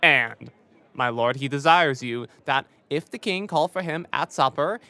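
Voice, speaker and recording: An actor playing a fussy, pompous courtly lord who flatters effusively and repeats himself in a Shakespearean audio drama. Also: medium pitch, measured pace. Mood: flustered, coaxing.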